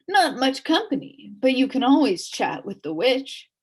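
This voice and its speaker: A woman's voice: sits high, very animated, a little slow, breathy edge, voice pushed up, heavily acted. A woman voicing a pompous, officious male policeman and judge in a storybook, declaiming with cheerful menace. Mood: smug, cheerful. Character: pompous, brisk policeman who is judge and jailer.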